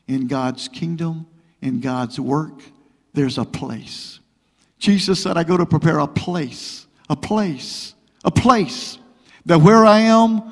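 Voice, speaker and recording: A middle-aged man preaching a sermon to a congregation, repeating phrases and building to loud, rising exhortation. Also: a little high, vocal fry. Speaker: guest preacher. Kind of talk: pentecostal sermon with prayer. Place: church sanctuary. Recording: long reverb of a church hall.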